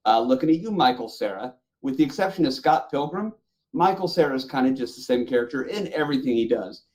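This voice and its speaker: A man with a mid-pitched voice talks as a podcast host among fellow panelists. His delivery is conversational and enthusiastic, leaning emphatically on his opinions about films. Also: animated, fast, darkish tone.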